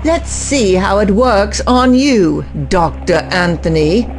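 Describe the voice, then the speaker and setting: An actor playing a defiant male scientist who turns on his master, bitter and slow-spoken. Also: high voice, expressive, a little hiss or hum, full character voice.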